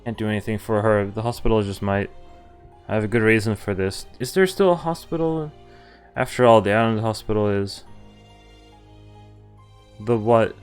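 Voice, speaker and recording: A man with a fairly low voice narrates a visual novel as he streams it. He slips between reading the on-screen text and commenting casually in his own words, with faint music playing behind him. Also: normal speed, long pauses, smooth. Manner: reacts with asides between read lines. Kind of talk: reading game text aloud with casual reactions.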